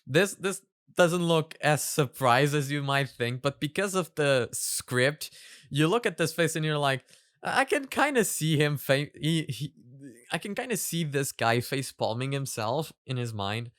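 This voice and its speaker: A man hosting a reaction video, commenting casually in a halting way and repeating words for emphasis. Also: a little high, lively intonation, a bit bright, dry recording, clean studio sound.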